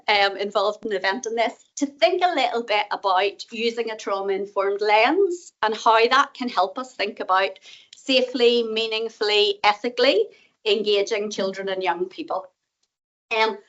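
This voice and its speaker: A middle-aged woman with a Northern Irish accent giving a conference talk, quick, chatty and self-deprecating. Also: a little high, fairly bright tone, dull audio. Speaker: guest speaker, professor. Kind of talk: conference presentation. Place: conference room.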